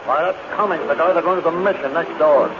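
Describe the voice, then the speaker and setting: A noisy, muffled old radio recording carries an actor's take on a tough American saloon boss. He talks blunt gangster slang in a markedly high male voice, suspicious and hard, in comic burlesque style. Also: a little fast, lightly acted. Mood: suspicious, surly. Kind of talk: 1930s radio comedy burlesque acting.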